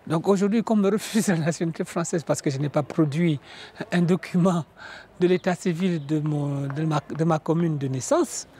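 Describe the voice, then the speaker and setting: A man's voice: a little high, moderate pace, warm tone, slight background noise. A man born in former French West Africa, speaking earnestly in a testimony about feeling French. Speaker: interviewee, association founder.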